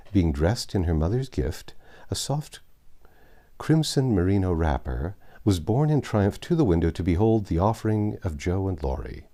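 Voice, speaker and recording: Male narrator for a classic novel: very low, lively intonation, soft-edged mellow tone, measured storytelling. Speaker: audiobook narrator. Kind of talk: reading a classic novel aloud.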